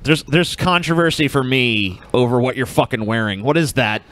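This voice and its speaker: A man's voice: mid-range voice, expressive, normal speed, a bit bright. A man co-hosting a casual podcast with mocking, sarcastic, profane commentary. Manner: mocking, sarcastic, swears freely. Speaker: podcast co-host.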